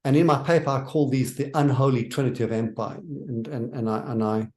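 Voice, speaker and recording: Brief: South African man of middle pitch, church author giving measured, earnest interview answers. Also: fairly even intonation, a little mellow.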